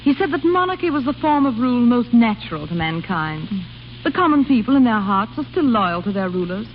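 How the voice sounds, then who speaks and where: Imperious duchess, fast, animated pitch, regal command, vintage radio comedy, muffled noisy recording. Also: female voice. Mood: regal, decided. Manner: regal, commanding, clipped formality. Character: haughty, imperious exiled grand duchess, easily outraged. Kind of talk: 1930s-40s radio comedy acting. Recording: dull, noisy old radio broadcast.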